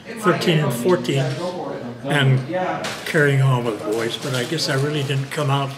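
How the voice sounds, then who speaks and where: A man's voice: mid-range voice, a little slow, a loud crowd. A man in his eighties, reminiscing with wandering phrasing in a documentary interview. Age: 80s.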